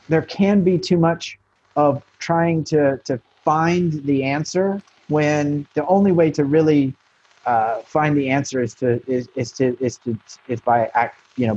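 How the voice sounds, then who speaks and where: Husky frank American man on a dull line. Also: mid-range voice, darkish tone, dry recording. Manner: frank, rambling with restarts and fillers. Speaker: guest, marketing consultant. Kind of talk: casual interview talk.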